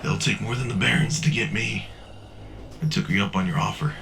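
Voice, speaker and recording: Guy doing gravelly older sci-fi scavenger, wry and sweary, music under it. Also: lowish voice, a little fast, a bit bright. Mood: weary, casual. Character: weathered older male wasteland scavenger on a respirator. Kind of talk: sci-fi audio drama acting.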